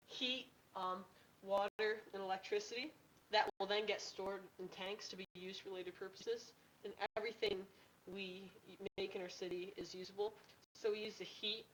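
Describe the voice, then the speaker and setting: A young female student giving an informal presentation in a halting, hesitant way. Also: mid-range voice, a little hiss or hum, rough recording. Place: meeting room.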